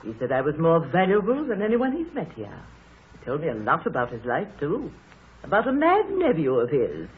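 Swooping, gossipy spinster sister, old radio. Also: female voice, low-pitched, wildly swooping pitch, moderate pace, slightly rough, full character voice. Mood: eager, gossipy. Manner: gossipy, opinionated. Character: chatty, self-satisfied middle-aged spinster sister, nosy amateur sleuth. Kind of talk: 1930s radio drama acting. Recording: muffled, narrowband 1930s radio broadcast.

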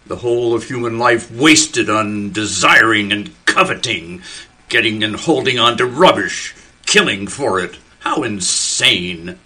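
An actor playing a quiet postman who is turning into a tree and muses slowly on human greed, in a community radio play. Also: fairly low pitch, expressive, breathy edge, heavily acted. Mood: musing. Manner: slow, musing, hushed. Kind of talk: community radio theater acting.

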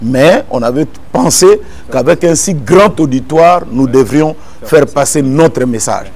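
A middle-aged man born in Kinshasa, a gospel singer and pastor, calmly explaining events in a sit-down interview and retelling them with repeated phrases. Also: mid-range voice, a little slow. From born in Kinshasa, Congo. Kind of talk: calm explanatory interview answers. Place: indoor room with potted plants.

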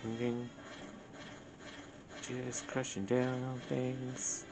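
Gaming co-host, gravelly voice, offhand casual chat while playing, game music clearly behind. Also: medium pitch, completely flat delivery, drawn-out words, low-quality recording. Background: video game music from a television.

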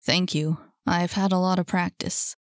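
A woman's voice: fairly low pitch, fairly even intonation, moderate pace, no room sound, studio quality, lightly acted. A woman reading a storybook and voicing a nervous, self-doubting young man who speaks hesitantly. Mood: flustered.

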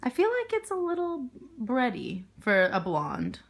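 A woman's voice: a little high, wildly swooping pitch, measured pace, slight background noise. A young woman chatting casually while tasting beer at home, easygoing and frank with her opinions. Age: young adult. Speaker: co-taster. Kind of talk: casual beer review chat. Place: living room at home, indoors.

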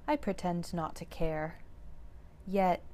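A woman voicing an aloof, proud male shelter cat in a storybook read-aloud, with dry, slow, drawn-out lines. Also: lowish voice, mellow. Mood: wry.

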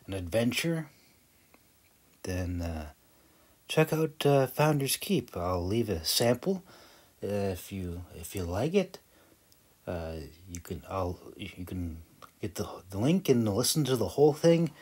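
A man's voice: on the low side, husky. A man giving a casual, rambling vlog voice-over in a hesitant, self-deprecating, chuckling way. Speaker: video creator and hobby audiobook narrator.

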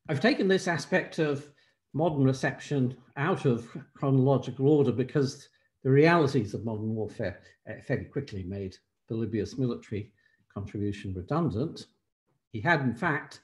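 An older man, an emeritus professor, giving a measured academic keynote over a video call from a room at home, pausing often on "uh". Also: medium pitch, a little mellow. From Ireland. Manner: measured scholarly delivery with frequent "uh" pauses. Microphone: laptop webcam on a video call.

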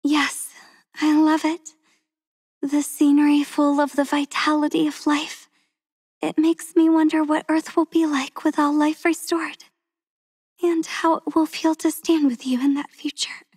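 A woman voicing a gentle, devoted young healer in a game, speaking slowly and tenderly in a soft, breathy voice. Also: high voice, little pitch movement, no room sound, studio quality. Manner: slow and tender. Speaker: voice actress for a game character. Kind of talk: game character voice lines.

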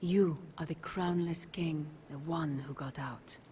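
A proud assassin weary of the fight. Her voice is on the low side, formal and earnest, in dramatic game style, with clear noise behind it. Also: steady tone, measured pace, warm tone. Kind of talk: dramatic video game cutscene acting.

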